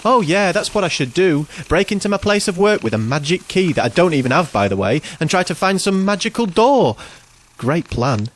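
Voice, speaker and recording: A man's voice: sits high, very animated, normal speed. An actor playing an insecure, self-doubting young man in a comic audio drama, with an awkward, grumbling delivery. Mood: exasperated.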